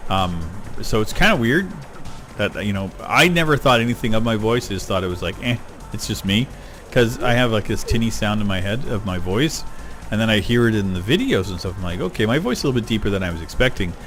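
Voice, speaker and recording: A man's voice: lowish voice, quick pace. Chatty middle-aged man over game music. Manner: rambling, chatty, excitable over loot. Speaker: gaming YouTuber. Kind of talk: casual let's-play commentary.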